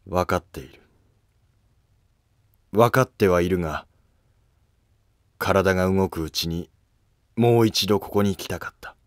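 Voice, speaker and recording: A grave, watchful retired emperor in failing health , played by a man for an animated period drama. His voice is low and terse, with quiet command and a dry recording. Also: measured pace, pauses often, no echo. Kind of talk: Japanese historical animated drama acting.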